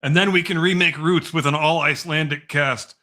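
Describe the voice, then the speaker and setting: Bright, forceful higher-pitched man. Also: steady tone, brisk. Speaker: podcast co-host. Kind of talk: casual comedic banter.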